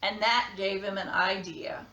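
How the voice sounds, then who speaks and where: A woman's voice: mid-range voice, fairly even intonation, measured pace, sharp tone, reverberant, far from the mic, lo-fi sound. A woman reading to children and giving casual art instruction. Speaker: children's story reader and art teacher.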